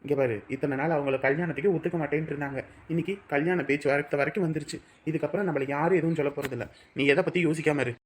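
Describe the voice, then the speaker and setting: Guy doing a pushy young lover, talks fast, bossy but reassuring. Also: on the high side, fairly even intonation, slight background noise, natural voice. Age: young adult. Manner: forceful, reassuring, scolding. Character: brash young man, protective lover.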